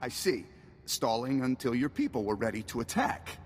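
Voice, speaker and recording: Casting: male actor as wry game pirate chief, lively swings in pitch, polite bargainer, reverberant noisy hall. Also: lightly acted. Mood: cold, resigned. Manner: polite, wry, measured hesitations. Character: smooth-talking male pirate leader, wry, bargaining, calculating. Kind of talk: video game voice acting.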